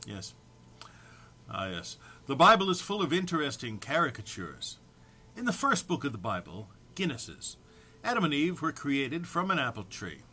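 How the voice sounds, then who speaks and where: Bright-voiced man, mock-solemn and barely composed. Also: medium pitch, big pitch swings, normal speed, husky. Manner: mock-solemn, struggling not to laugh. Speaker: amateur reader recording for an online post. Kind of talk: informal introduction, then reading comic text aloud.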